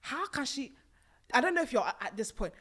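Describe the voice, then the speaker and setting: A young woman hosting a podcast from a small studio set, chatting emphatically about a reality show into a boom mic, with bursts of laughter. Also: expressive, fairly quick, a bit bright, breathy edge. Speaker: podcast host. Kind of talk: casual chat reviewing a reality show. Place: small purple-lit studio set on a sofa. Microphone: studio mic on a boom arm.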